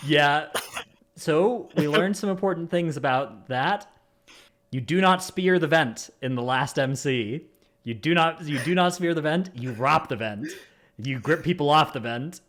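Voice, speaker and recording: A man's voice: a little high, animated, a little slow, a bit bright. A man hosting a gaming podcast in a casual, chatty style. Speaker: podcast host.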